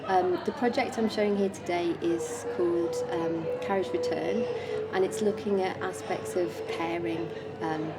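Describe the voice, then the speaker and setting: A woman artist explaining her work informally in a measured, hesitant manner. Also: fairly low pitch, steady tone. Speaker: artist presenting own work. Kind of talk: informal exhibition talk about own artwork. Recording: clear background noise and room ambience.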